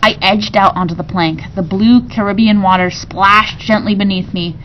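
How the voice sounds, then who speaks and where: A woman's voice: medium pitch, fairly even intonation, normal speed, muffled recording, noisy background. A woman reading a children's storybook aloud expressively, like a teacher to a class.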